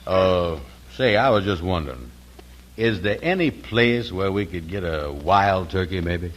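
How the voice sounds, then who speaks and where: A man playing a slow-witted, good-natured fellow in 1940s American radio comedy, with a slow, folksy, ungrammatical drawl. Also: deep voice, lively intonation, a little hiss or hum. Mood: puzzled.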